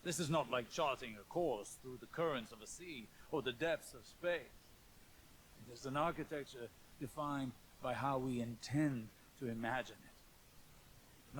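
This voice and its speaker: An actor playing a thoughtful, troubled scholar, speaking formally and eloquently in a reflective video game monologue. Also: male voice, medium pitch, slight background noise, lightly acted, low-quality recording.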